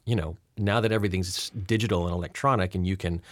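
Middle-aged actor guest from Rhode Island, low voice, reflective and sincere. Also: male voice, steady tone, no echo. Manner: earnest and reflective, drawn-out words. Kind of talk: relaxed interview talk.

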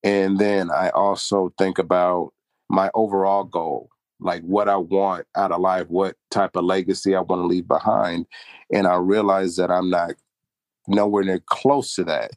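A man's voice: low-pitched, little pitch movement, measured pace, no echo. A young man talking earnestly and reflectively about pride and humility in a casual podcast chat. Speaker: podcast co-host.

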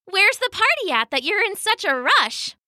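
A woman's voice: extremely high pitch, sing-song, normal speed, bright, forceful tone, no room sound, clean studio sound, voice pushed up, full character voice. A woman voicing a friendly, musical mother otter in a children's story, with a gushing, singsong delivery. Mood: delighted.

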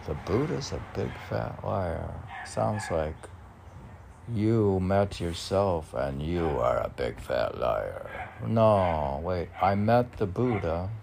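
A man with a gravelly voice reads and comments on poetry in a rambling, halting, self-questioning way, teasing as he goes. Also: low voice, expressive, noisy background. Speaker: poet.

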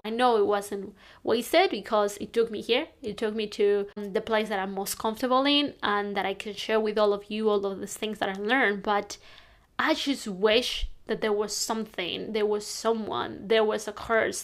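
A young woman from northern Spain, talking earnestly and rambling a bit in a casual monologue. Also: mid-range voice. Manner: earnest, rambling, self-correcting. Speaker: lifestyle podcaster.

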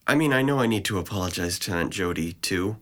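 A man's voice: sits low, lively intonation, quick pace, a bit bright. A man acting a depressed, guilt-ridden and resentful young man in an audio drama, with a wounded, bitter delivery. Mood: apologetic.

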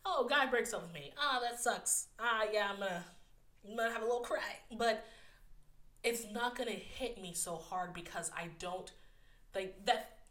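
A woman's voice: fairly high pitch, normal speed, a bit bright, echoey room. A young woman hosting a personal podcast, candid and close to tears, her voice a bit nasal, laughing often between filler words. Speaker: podcast host. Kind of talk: personal solo podcast talk.

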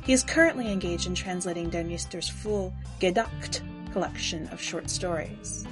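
Clear-voiced woman reading literary narration. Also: on the low side, moderate pace, music in the background. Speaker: podcast editor and story reader.

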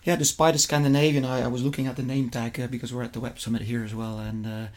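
A middle-aged man from Flemish-speaking Belgium, a native Dutch speaker with a Flemish accent, answering interview questions conversationally and somewhat haltingly. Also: medium pitch, level tone. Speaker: interview guest, investment fund executive.

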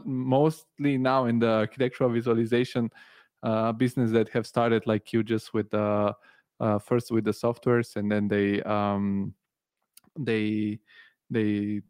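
A man's voice: medium pitch, a little mellow, no echo. Man hosting a podcast in a dry, close sound. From born in Bulgaria, grew up in Italy. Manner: rambling, searching for words. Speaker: podcast host. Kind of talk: casual interview conversation.

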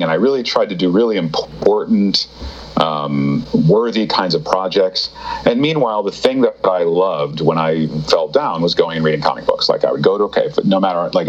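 A man being interviewed as a guest, telling his career story in a casual, rambling way with many fillers and restarts. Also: lo-fi sound. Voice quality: deep voice. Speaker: guest, TV writer.

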